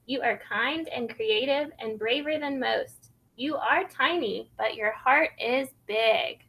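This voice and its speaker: A woman voicing a grown dinosaur relative in a children's storybook, giving kindly, sure advice in a measured, instructive way. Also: on the high side, creaky, sharp tone, exaggerated voice, low-quality recording. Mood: comforting. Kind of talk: children's storybook read-aloud.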